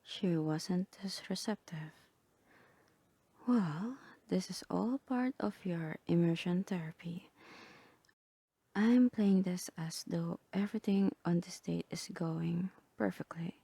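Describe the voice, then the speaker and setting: A woman voicing a calm, composed therapist in a soft, breathy, half-whispered ASMR roleplay, measured and clinical. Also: fairly low pitch, no room sound, heavily acted. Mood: calm, reassuring. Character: calm, composed female therapist guiding a patient through roleplay.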